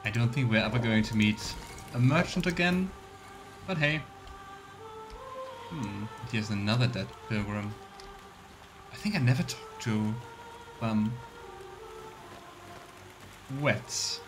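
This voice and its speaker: A man's voice: on the low side, takes it slowly, long pauses, rough recording. A man streaming a game with casual, rambling commentary, musing and thinking aloud. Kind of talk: casual Let's Play commentary. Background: faint game music.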